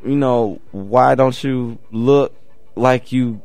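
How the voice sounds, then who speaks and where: A man hosting a talk show, asking questions and telling a story in a lively way that rises with emphasis. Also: medium pitch, takes it slowly, no echo, faint music behind. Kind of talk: interview and anecdote.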